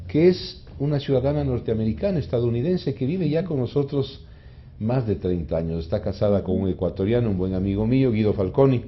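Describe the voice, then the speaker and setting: A man's voice: mid-range voice, muffled recording. A man with an Ecuadorian accent hosting a radio interview, speaking in an emphatic, rhetorical, opinionated way. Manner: emphatic, rhetorical, repeats questions for effect. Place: radio studio.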